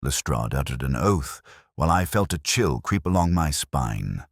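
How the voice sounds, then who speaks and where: A man reading a classic detective tale as narrator, in a formal, measured, literary manner. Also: a bass voice, very animated, creaky, husky, dry recording, studio quality. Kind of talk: scripted audiobook narration of a classic detective story.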